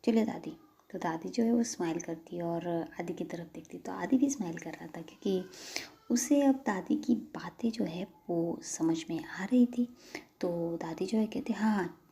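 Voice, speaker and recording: Soft-spoken woman whispering a story. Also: fairly low pitch, moderate pace. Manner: soft, breathy, half-whispered narration. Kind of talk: audio story narration.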